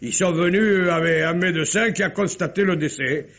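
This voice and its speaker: A man playing a folksy, rambling bistro owner in an old-style French radio mystery, with a gravelly, husky voice. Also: sits high, normal speed, fairly bright tone. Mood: garrulous. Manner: colloquial, rambling, exclamatory. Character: chatty, folksy male bistro owner recalling a drama. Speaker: actor. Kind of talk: 1950s French radio drama acting. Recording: muffled old radio recording.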